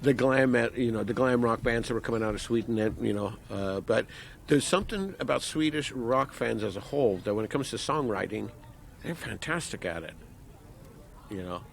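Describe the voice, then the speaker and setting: A man conducts a casual band interview in a mid-pitched voice. He is informal and jokey, and his questions tend to ramble. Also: lively intonation, moderate pace, vocal fry, slight background noise.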